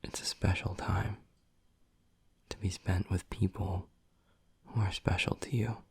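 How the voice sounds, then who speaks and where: Hushed, gravelly young werewolf boyfriend, acted by a man. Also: little pitch movement, dry recording, whispered, heavily acted. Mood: tender, reassuring. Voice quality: low, gravelly, husky, breathy. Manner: hushed, slow, earnest, halting. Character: tender, gruff young male werewolf boyfriend. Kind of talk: intimate boyfriend audio roleplay. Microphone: close, dry microphone.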